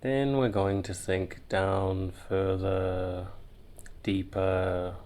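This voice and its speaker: Man, deep voice, monotone, soothing guided meditation, long pauses. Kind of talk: guided visualization, meditative narration.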